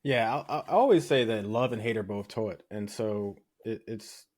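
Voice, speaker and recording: A man hosts a podcast in a casual chat. His voice sits low, and he chimes in easily to encourage his guest. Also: moderate pace, darkish tone. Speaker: podcast host.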